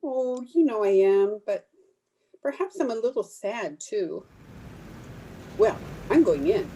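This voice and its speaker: Woman playing wistful, mellow-voiced young cousin, swooping pitch. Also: expressive, takes it slowly, a little hiss or hum, poor audio. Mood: wistful, bitter. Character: plain, wistful young bridesmaid cousin, gloomy and self-effacing. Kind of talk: old-time radio drama acting.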